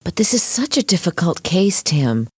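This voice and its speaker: Sensible, anxious courtroom mentor for animation: lowish pitch, measured, close dry sound. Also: female voice, big pitch swings, breathy edge, no room sound, heavily acted. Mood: cautious. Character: sensible, anxious female lawyer mentoring a boy. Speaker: lawyer character. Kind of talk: animated courtroom cartoon voice acting.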